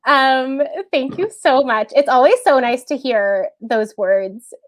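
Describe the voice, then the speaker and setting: A woman's voice: high voice, slow, no echo. A woman hosting a casual interview, speaking in an informal, effusive way. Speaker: interview host.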